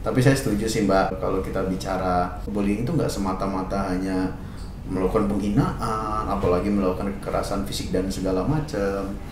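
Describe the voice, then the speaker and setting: A man's voice: low-pitched, fairly even intonation, fairly quick. A man, a guest speaking in a casual talk-show discussion. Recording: echoey, distant mic, noisy.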